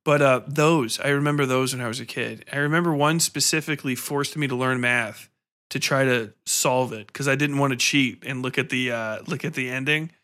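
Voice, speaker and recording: A man's voice: medium pitch, fairly even intonation, moderate pace, sharp tone. A man in his thirties hosting a podcast interview in a casual, rambling way, telling anecdotes informally. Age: 30s.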